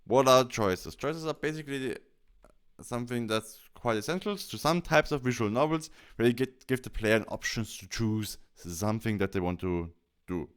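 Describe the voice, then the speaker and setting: A man presenting a software tutorial, explaining things step by step and often correcting himself as he goes. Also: medium pitch, normal speed, clear, resonant tone.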